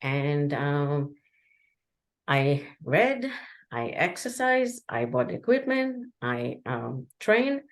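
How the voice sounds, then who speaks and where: A woman whose first language is Hebrew, a podcast guest telling her story in a halting way, with many restarts. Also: low-pitched, very animated. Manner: halting, frequent restarts and fillers. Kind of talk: candid conversational storytelling.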